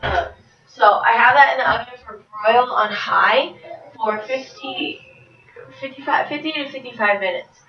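A woman in her thirties talks loosely through her cooking routine, filling gaps with ums and trailing off. Her voice sits a little high and comes from across a bouncy home kitchen. The camera audio sounds muffled, with a faint hiss. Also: measured pace, crowd noise. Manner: rambling, many ums, moves around while talking. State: getting over a cold. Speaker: fitness vlogger. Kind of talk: casual how-to chat. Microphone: far camera. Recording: roomy, distant, dull camera audio.

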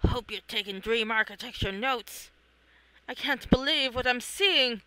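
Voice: mid-range voice, measured pace, bright, forceful tone, clear, resonant tone, poor audio. A person reading a comic aloud, acting out the characters in a theatrical, exclamatory way. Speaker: reader of own comic. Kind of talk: animated read-along of a comic, acting out characters.

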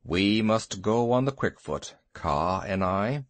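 A man voicing Bagheera, a proud male panther, speaking gravely in old-fashioned formal language. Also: fairly low pitch, lively intonation, slow pace, dry recording, pitched below the performer's own voice.

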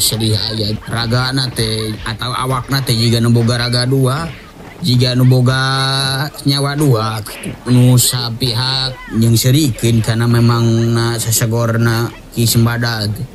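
A man's voice: medium pitch, little pitch movement, a little slow. A man with a Sundanese accent narrating a folktale in a dramatic oral storytelling style. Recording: music under the voice.

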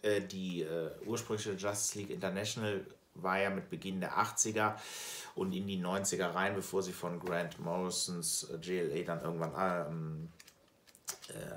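A middle-aged man, chatting casually and haltingly to camera as a video host. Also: on the low side. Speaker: comic collector and video host. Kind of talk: casual chat to camera, showing purchases. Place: small room at home with shelves. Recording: roomy, echoing indoor sound.

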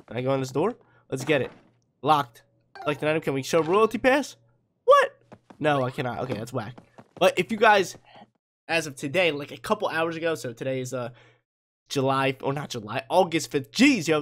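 A young man with a slightly hoarse voice, a gaming YouTuber giving hyped, slangy let's-play commentary into a headset mic, with faint game music behind. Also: fairly high pitch, animated, brisk, a bit bright, a little hiss or hum. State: slightly hoarse from a sore throat.

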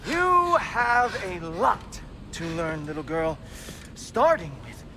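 Sneering bully, wildly swinging pitch. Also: male voice, very animated, very noisy, full character voice. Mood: mocking. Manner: theatrical, mocking, drawn-out delivery with sudden outbursts. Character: sneering, condescending godly bully, adult man, cruelly taunting. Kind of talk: video game cutscene acting.

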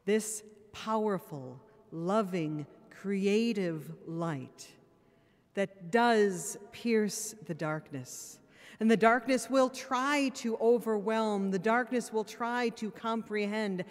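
A woman priest preaches at a pulpit microphone in a church, her words trailing into a long hall reverb. She talks in an earnest, plain-spoken way, drops in wry asides, and sounds a little tired. Her pace is slow and unhurried. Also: medium pitch. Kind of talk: sermon.

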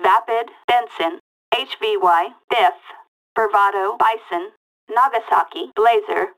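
Female police dispatcher for a game: medium pitch, unhurried, detached, word-by-word callouts with radio processing. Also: a bit bright, low-quality recording. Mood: flat, procedural. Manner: clipped, detached, word-by-word delivery. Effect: police radio filter. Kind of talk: reading out vehicle makes and models as scanner callouts.

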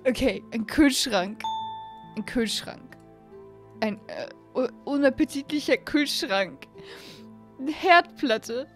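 A young woman hosting an excited play-along game commentary, gushing and repeating phrases, speaking close to a desk microphone. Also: high-pitched, slow pace, pauses often, faint music behind. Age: young adult. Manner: mixes in English catchphrases. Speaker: YouTube gaming host. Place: small room at home, indoors.

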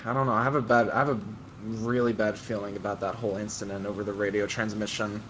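A man chatting casually in improvised game roleplay, halting as he thinks aloud and swearing at times. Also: lowish voice, level tone, a bit bright. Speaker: roleplay player. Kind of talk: casual improvised in-character chat. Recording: noisy game voice chat, keyboard typing.

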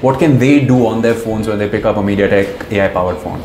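Male tech interviewer, rapid conversational delivery, echoey room with faint background noise. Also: fairly low pitch, a little mellow. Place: indoor meeting room at trade show. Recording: roomy echo, faint ambient noise.

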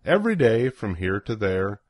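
Older man reading rhymes with animated pitch. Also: normal speed, dry recording, clean studio sound. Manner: playful, sing-song rhythm with swooping emphasis. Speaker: storybook reader. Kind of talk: expressive read-aloud of a children's rhyming picture book.

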